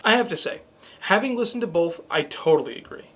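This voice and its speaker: A man's voice: high voice, expressive, fairly quick, a bit bright. A man co-hosting a review show in a scripted but conversational, presenterly way. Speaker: show co-host.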